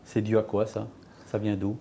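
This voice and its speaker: A man's voice: lowish voice, completely flat delivery, normal speed, warm tone, a little hiss or hum. A man interviewing a footballer, asking short, direct and probing questions. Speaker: sports interviewer. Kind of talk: sit-down sports interview.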